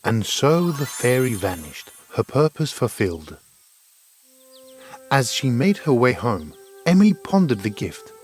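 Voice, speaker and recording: A man's voice: mid-range voice, big pitch swings, unhurried, darkish tone, soft background music. A man narrating a scripted fairy tale in an earnest, dramatic storytelling manner.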